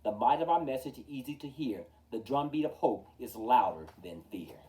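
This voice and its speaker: A man hosting a storytime, reading a rhyming children's book aloud in a theatrical, measured way, with emphatic openings and falling line endings. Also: medium pitch, expressive, dark tone, distant mic, low-quality recording. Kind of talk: expressive children's picture-book read-aloud in rhyme.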